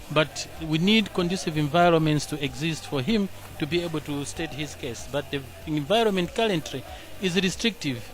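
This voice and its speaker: A man from Malawi speaking forcefully as an advocate at a public gathering. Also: on the high side, low-quality recording.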